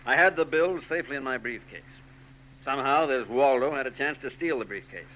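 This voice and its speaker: A man's voice: medium pitch, a little fast, a bit bright, lightly acted, rough recording. A man playing a curt wealthy engineer. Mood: guarded. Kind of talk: 1940s radio drama acting. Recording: old radio broadcast tape with faint noise.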